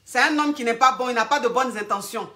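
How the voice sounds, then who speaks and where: A woman coach talks straight to her callers and keeps calling them darling. Her voice sits high and rings bright and clear. She sounds blunt but motherly, and firm when she makes a point. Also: fast talker. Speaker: relationship coach answering callers. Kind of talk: live call-in advice.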